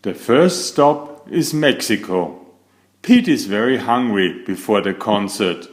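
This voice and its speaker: Male children's story reader. Unhurried, very lively intonation, roomy echo. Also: lowish voice. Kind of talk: expressive read-aloud storytelling.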